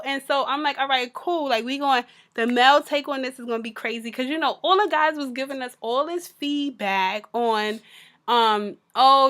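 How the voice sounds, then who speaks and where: A woman co-hosting a podcast in casual chat, her voice raspy from a sore throat, talking in a frank, laid-back way. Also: sits high, pushed and bright. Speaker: podcast co-host.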